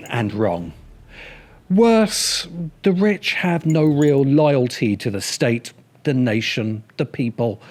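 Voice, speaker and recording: A man's voice: mid-range voice, a little mellow. A man narrating a scripted video essay as its host, with dry, sardonic asides.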